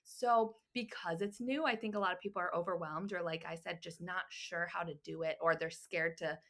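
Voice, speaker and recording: Young woman podcast host, lowish but really bright sharp voice, keeps saying yeah, super encouraging. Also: fairly even intonation, moderate pace. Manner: agreeable, encouraging, many short affirmations. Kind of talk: casual podcast interview.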